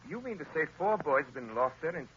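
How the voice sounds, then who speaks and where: A man playing a poised, inquisitive gentleman detective on an old radio broadcast. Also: a little high, animated, fast, husky, muffled recording, exaggerated voice.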